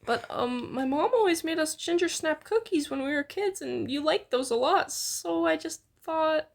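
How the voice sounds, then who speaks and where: A gentle, bashful young man read by a woman from a fan fiction story. The voice is high and creaky, earnest and a little hesitant. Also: animated, normal speed. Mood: shy, earnest.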